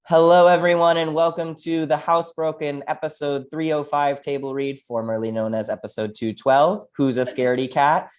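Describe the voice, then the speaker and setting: A man's voice: a little high, fairly even intonation, moderate pace, few pauses. A man casually welcoming people as host of a table read.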